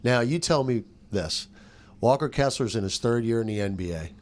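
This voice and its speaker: Male sports radio announcer, average pitch, emphatic delivery in relaxed panel conversation. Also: moderate pace. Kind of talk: casual sports roundtable chat.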